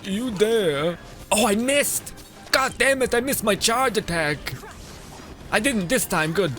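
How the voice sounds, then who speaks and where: A man's voice: high voice, expressive, slow, pushed and bright. A young man giving casual gameplay commentary as a streamer, rambling, interrupting himself and swearing casually. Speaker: game streamer. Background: game music.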